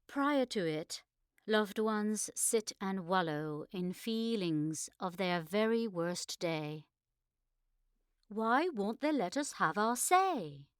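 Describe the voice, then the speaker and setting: A woman delivers a scripted reading in an unhurried, deliberate manner. Her pitch moves with lively variation, and the sound is very dry, with no room around it. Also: no room sound. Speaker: podcast presenter and poetry reader. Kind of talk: poetry recital and scripted announcements.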